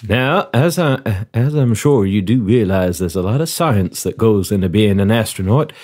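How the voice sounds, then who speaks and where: A man's voice: fairly low pitch, expressive, normal speed, darkish tone, voice pushed down. A man voicing a courteous, genial commander conducting an interview in a comedy role-play. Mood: official, approving. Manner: genial, formal, folksy politeness.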